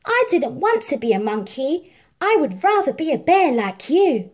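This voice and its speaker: A young jungle boy full of pluck and defiance, as a woman storyteller plays him. He speaks up eagerly and emphatically in a high voice that leaps about in pitch. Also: sing-song, normal speed. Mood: grateful.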